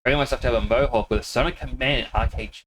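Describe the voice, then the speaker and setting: A man's voice: medium pitch, quick pace, slight background noise. A man streaming a video game, chatting casually with viewers, laughing often and a little sniffly with a cold. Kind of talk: casual live-stream chat.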